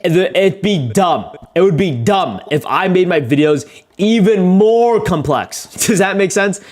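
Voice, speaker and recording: A man's voice: lively intonation, measured pace. A young man chatting casually on a livestream while he edits, rambling, joking at his own expense and swearing now and then. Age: young adult. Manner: rambling, self-mocking, swears casually, laughs often; joking, gets louder and higher when telling stories. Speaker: video creator live-streaming his editing.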